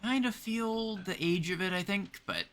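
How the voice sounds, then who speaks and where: A man's voice: high-pitched, unhurried, a bit bright. A man from Canada livestreaming a game, chatting casually with viewers as he plays. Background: game music.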